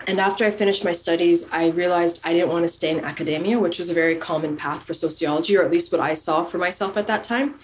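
Woman in her thirties, podcast guest, earnest and reflective, lowish voice, faint background hiss. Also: fast talker, few pauses. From Canada. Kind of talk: casual interview chat.

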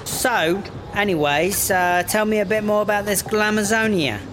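A man's voice: high-pitched, normal speed, music in the background, close to an everyday voice. A man playing a brash, foul-mouthed English smuggler in a sci-fi comedy audio drama, sardonic and slangy. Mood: sly, probing.

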